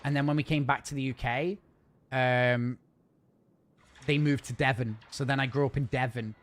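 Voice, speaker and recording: A man's voice: mid-range voice, steady tone. A man chatting casually and rambling while live-streaming a game from home. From north of England. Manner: chatty, rambling, fast-talking. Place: home gaming setup.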